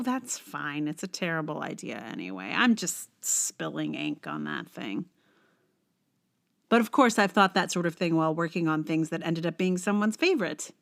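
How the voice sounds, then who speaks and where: A middle-aged woman hosts a personal writing podcast. Her voice sits at an average pitch for a woman. She speaks conversationally and expressively, leaning on key words as she goes. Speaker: podcast host and writer. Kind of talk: personal podcast, chatting and reading own blog aloud.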